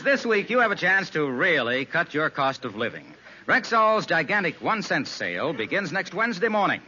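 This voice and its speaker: A man's voice: on the high side, pushed and bright, breathy edge. A man announcing in the style of a 1950s radio commercial. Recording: muffled old radio broadcast.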